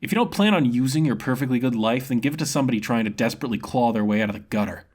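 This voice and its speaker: A man voicing a blunt, scornful, street-hardened woman adventurer with a sharp, scolding manner in a fantasy story. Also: medium pitch, expressive, very fast, husky.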